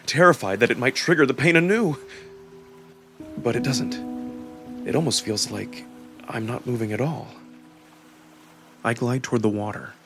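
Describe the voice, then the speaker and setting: A man's voice: medium pitch, a bit bright, slight background noise. A man giving dramatic first-person scripted narration in a theatrical, emotionally intense manner. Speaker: narrator and performer of a visual novel.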